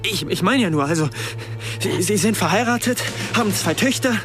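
An actor playing a nervous but defiant young student in a radio crime drama, stammering and insistent. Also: male voice, high-pitched, lively intonation, brisk, music in the background. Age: young adult.

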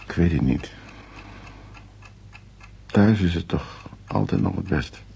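An actor playing a weary, evasive husband in a radio play, speaking hesitantly and haltingly. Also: male voice, low-pitched, lively intonation, vocal fry, warm tone, a little hiss or hum, exaggerated voice. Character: evasive husband, weary home-loving office worker. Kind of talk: naturalistic radio play acting.

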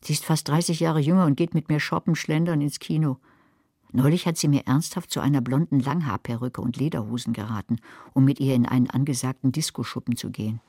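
A woman's voice: a bass voice, fairly even intonation, brisk, warm tone. An actress playing a sceptical older woman in a German radio crime drama, speaking in a dry, blunt, sardonic way. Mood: musing.

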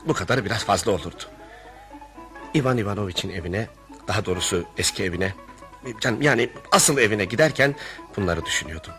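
An earnest, anxious gentleman in a radio theatre piece. His voice is bright and swoops expressively, a little high for a man, with theatrical emphasis and faint music around it. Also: lively intonation, quick pace, pauses often. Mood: reflective, wry. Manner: theatrical, reflective storytelling, emphatic protests. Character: earnest, anxious male narrator, sympathetic family friend. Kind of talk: radio theatre narration and dialogue.

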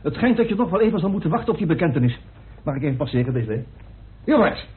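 Very husky, defiant suspect. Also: male voice, on the high side, big pitch swings, fast, full character voice. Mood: defiant, scornful. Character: defiant, scornful male suspect in a murder mystery. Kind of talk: radio play acting in Dutch. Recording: muffled old radio drama recording.

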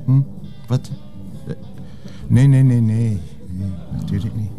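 Restrained Flemish police inspector, music behind. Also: male voice, mid-range voice, slow, warm tone. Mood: distracted, evasive. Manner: polite, restrained, evasive. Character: patient, sensible male police inspector, loyal sidekick. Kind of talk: naturalistic TV crime drama acting.

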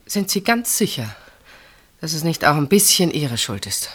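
A woman's voice: low voice, very animated, moderate pace, a bit bright, slightly rough, full character voice. An actress playing a guarded sister-in-law in a German radio play, defending her sister. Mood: quietly reproachful.

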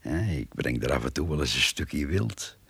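An actor playing a grumbling, chatty older countryman in a radio play, gravelly, muttering and folksy. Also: very deep voice, expressive, moderate pace, fairly bright tone, dry recording, heavily acted. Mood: musing. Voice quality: gravelly, husky. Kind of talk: Dutch radio play acting.

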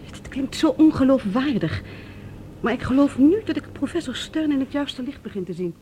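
A woman's voice: high voice, animated, normal speed, dark tone. Insistent woman doctor, faint hiss. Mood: shaken, hesitant. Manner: hesitant starts, insistent arguing. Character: female doctor, hesitant yet stubbornly distrustful and ruthless. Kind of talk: Dutch science-fiction radio drama acting. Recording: noisy old radio drama recording.

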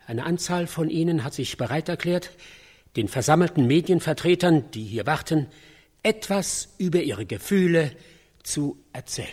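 Husky higher-voiced news reporter. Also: male voice, lively intonation, normal speed, darkish tone. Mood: serious. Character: male on-site news reporter announcing witnesses to listeners. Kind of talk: live news report in radio drama.

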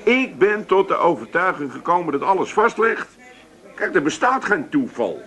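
A man's voice: high voice, very animated, normal speed, a bit bright, full character voice. A man playing a glib, cheeky pub chancer with an Amsterdam accent in a comic radio play, persuasive and emphatic. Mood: solemnly convinced.

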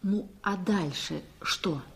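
A woman's voice: lowish voice, slow, creaky. An actress playing a plain-spoken woman in a 1960s radio drama. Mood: serious.

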